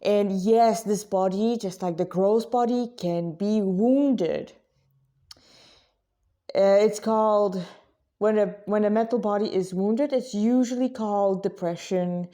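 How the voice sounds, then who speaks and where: A woman giving an informal spiritual talk to listeners as a religious vlogger, conversational and halting, often correcting herself. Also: mid-range voice, mellow. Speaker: religious vlogger, Krishna devotee.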